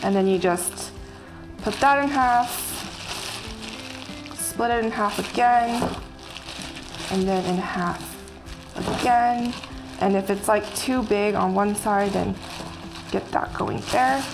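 A woman's voice: mid-range voice, takes it slowly, pauses often. Young woman chef talking through a recipe. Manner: friendly step-by-step instructions while working. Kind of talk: casual cooking demonstration. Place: bright home kitchen. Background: background music and sizzling food.

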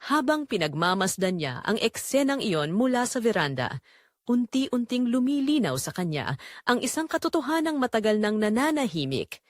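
A woman narrating a scripted dramatic story in a solemn, deliberate, emotive way. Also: fairly high pitch, very animated, dry recording, clean studio sound.

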